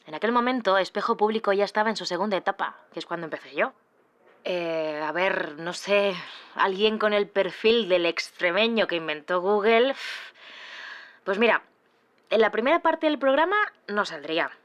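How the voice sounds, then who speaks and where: Actress as brisk, dismissive middle-aged TV producer woman. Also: mid-range voice, fairly bright tone, close to an everyday voice. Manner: colloquial, matter-of-fact, slightly condescending. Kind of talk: acted interview answers.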